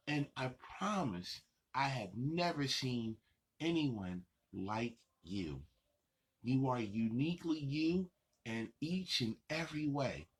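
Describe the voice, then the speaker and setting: A man hosting a children's story time, talking straight to young children in simple, repeated, encouraging phrases, with a gravelly, husky voice. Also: mid-range voice, a bit bright. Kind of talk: addressing young children directly.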